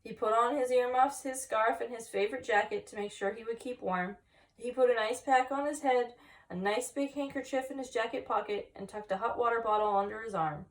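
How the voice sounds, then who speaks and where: A woman's voice: a little high, brisk, recorded from a distance, rough recording. A woman reading a children's picture book aloud expressively, with chatty asides to young listeners. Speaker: story time reader, teacher.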